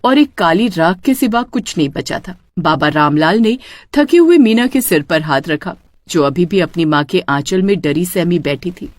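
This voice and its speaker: Lively woman storyteller, dry studio sound. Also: on the low side, normal speed, dry recording. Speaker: storyteller, narrator. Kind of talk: scripted dramatic story narration.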